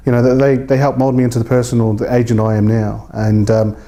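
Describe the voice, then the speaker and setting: A New man in his thirties talks informally in an interview. His voice is dark and very relaxed, at an average male pitch. He is colloquial and a little hesitant, like an estate agent having a casual chat. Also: fast. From New Zealand. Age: 30s.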